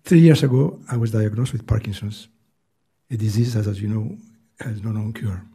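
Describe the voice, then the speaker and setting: Soft-voiced old Greek-accented man. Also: lowish voice, slightly rough. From Greece. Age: 80s. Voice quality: soft, weak voice. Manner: earnest, halting, with restarts. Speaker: hearing witness. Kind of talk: reading prepared testimony. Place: hearing room.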